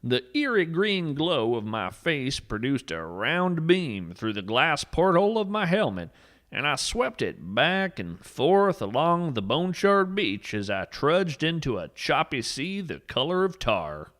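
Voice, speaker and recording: A man performs an eccentric male naturalist telling tall tales for a fiction podcast. The delivery is folksy and theatrical, with pitch that rises and dips widely. He sounds earnest about every whimsical wonder. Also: big pitch swings, unhurried, fairly bright tone. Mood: eerie storytelling. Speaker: podcast host and storyteller. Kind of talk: scripted fiction podcast narration.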